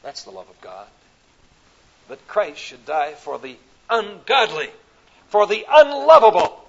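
Husky midwestern preacher guy, swells loud then goes soft and slow, muffled old tape sound. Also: sits high, wildly swooping pitch. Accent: Midwestern American. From American Midwest. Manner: rises to loud emphatic peaks, drops to soft slow phrases. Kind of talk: sermon. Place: conference hall. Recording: dull lo-fi recording.

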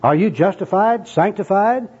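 Man doing a fervent, high-pitched religious zealot. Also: lively intonation, moderate pace, a little mellow, slightly rough. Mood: fervent, insistent. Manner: florid, preachy, exclamatory. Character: fervent jargon-spouting male religious zealot, friendly but insistent. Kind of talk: comic skit acted out in a sermon. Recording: dull, muffled lo-fi recording.

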